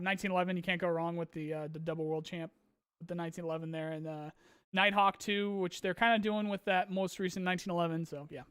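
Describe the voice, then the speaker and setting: A man hosting a casual live-stream Q&A, rambling with many ums and restarts while his voice grows hoarse. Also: high voice, a bit bright, dry recording. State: losing his voice, clearing throat. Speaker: streamer host answering chat questions.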